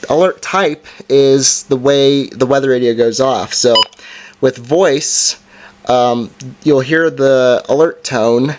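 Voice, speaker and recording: A man giving a casual, halting hands-on gadget demonstration in an unscripted way. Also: medium pitch. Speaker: product reviewer. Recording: noisy amateur home recording, clicks.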